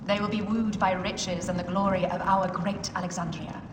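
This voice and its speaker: A woman's voice: medium pitch, fairly even intonation, moderate pace, natural voice. An actress playing a proud, imperious young queen of ancient Egypt in a game cutscene, regal and commanding. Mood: haughty, assured. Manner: regal, commanding, self-assured. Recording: echoey reverb with clear background noise.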